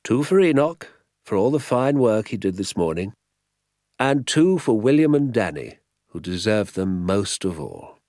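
A man voicing a genial, quietly triumphant old village doctor with a British accent and a knowing manner. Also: fairly low pitch, very animated, a little slow, no echo, clean studio sound, pitched above the performer's own voice. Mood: delighted. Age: older.

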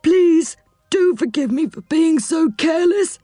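A woman voicing an apologetic, soft-hearted, clumsy man from a children's storybook in a small, meek, contrite voice. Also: very high-pitched, expressive, unhurried, dry recording, studio quality, faint music behind, pitched above the performer's own voice. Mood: remorseful.

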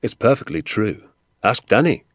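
A man's voice: mid-range voice, expressive, normal speed, vocal fry, slightly rough, no room sound, clean studio sound, pitched above the performer's own voice. A man voicing a roguish country father in an audiobook, with earnest, storytelling emphasis. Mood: admiring, plain. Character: warm-hearted country father, a roguish poacher. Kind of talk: acted audiobook dialogue.

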